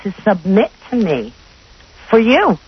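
A woman's voice: medium pitch, huge pitch swings, unhurried, breathy edge. A middle-aged woman with a New York accent, calling in as a matchmaker. She speaks proudly and firmly. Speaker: matchmaker guest on the phone. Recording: muffled broadcast recording.

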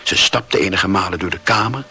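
A man's voice: low voice, fairly quick, pushed and bright, slightly rough. A man narrating a literary radio play as a scripted storyteller.

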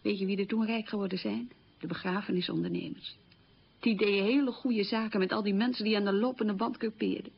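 A middle-aged woman looking back on hungry war years. Her voice is very husky with a gravelly creak. She tells it plainly and emphatically, as radio drama testimony, on a dull old recording. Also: medium pitch, fairly even intonation, a little fast. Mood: grave, bitter. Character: middle-aged woman bitterly recalling wartime hunger.

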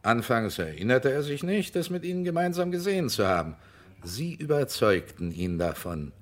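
An actor playing a stern, persistent investigator in a German radio crime drama, speaking in a deliberate, formal, insistent way. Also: male voice, medium pitch, very animated, lightly acted. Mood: stern, pressing. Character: stern, persistent male investigator pressing a witness.

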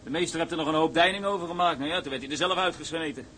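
A man's voice: a little high, rapid-fire, sharp tone, slight background noise. A man playing a blunt, grumbling, hard-done-by sailor in a radio drama. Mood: indignant. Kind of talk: Dutch radio drama acting.

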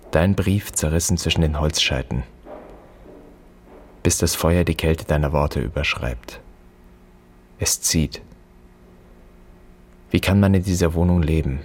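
An actor playing a bitter, brooding son who puts deliberate, poetic questions to his absent dead father in a literary radio drama. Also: rumbling low, darkish tone, a little hiss or hum.

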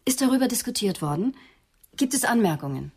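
An actress playing a guarded, self-justifying psychoanalyst in a radio crime drama, speaking carefully and formally. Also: female voice, a little high, very animated, brisk, breathy edge, heavily acted. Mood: wary, probing.

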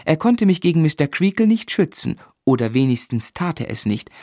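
An actor playing a grown man who fondly recalls his schooldays, narrating a literary radio drama in a measured, reflective way. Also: a little high, very animated, dark tone, dry recording, studio quality.

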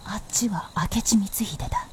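A woman's voice: mid-range voice, unhurried, whispered, lightly acted. A woman playing a shrewd, blunt princess with knowing, masculine phrasing in a period radio drama. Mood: hushed, explaining.

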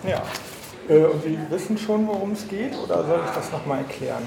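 Higher-voiced male receptionist in echoey room. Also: animated, a little fast, creaky, crowd noise. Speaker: hotel receptionist. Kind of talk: unscripted explanation to guests. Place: echoing hotel lobby reception.

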